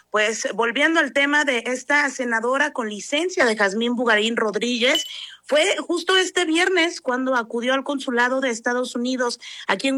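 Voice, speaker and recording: A woman's voice: a little high, pushed and bright, dry recording, clean studio sound. A woman with a Mexican accent giving a news report from the field, conversational with colloquial touches. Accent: Mexican Spanish.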